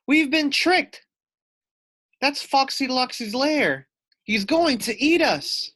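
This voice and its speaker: Man putting on a high, wailing little bird. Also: expressive, slow, bright, forceful tone, full character voice. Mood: panicked wailing. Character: small, panicky, wailing polar bird, quick-thinking.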